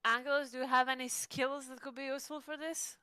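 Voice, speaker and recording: A clear, bright woman's voice, fairly high and recorded close and dry. She talks casually as a player in a tabletop game, asking the others questions. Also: flat delivery, fast talker, no room sound.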